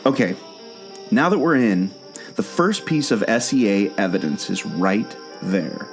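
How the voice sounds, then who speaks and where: A man's voice: lowish voice, lively intonation, measured pace, soft background music. A man playing an earnest, obsessive amateur sleuth and former jungle skipper in a scripted audio drama. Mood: purposeful. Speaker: podcast co-host.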